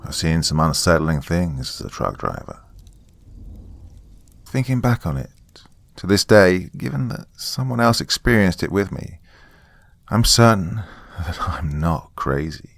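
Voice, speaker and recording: A man's voice: sits low, sing-song, unhurried, gravelly, no room sound. A man giving a dramatic first-person reading of a scripted story. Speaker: horror story narrator.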